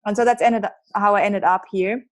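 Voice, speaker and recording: A woman's voice: mid-range voice, steady tone. Woman with a strong Swiss accent. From Switzerland. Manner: open, storytelling, quick bursts with restarts. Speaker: guest, entrepreneur and author. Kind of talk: podcast interview.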